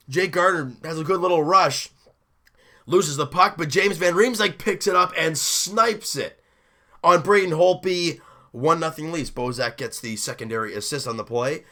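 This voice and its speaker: A young man with a hoarse, roughened voice, excitedly recapping a hockey game in a rambling, self-interrupting way. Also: on the high side. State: hoarse, deep rough voice after a late night. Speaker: hockey fan video host.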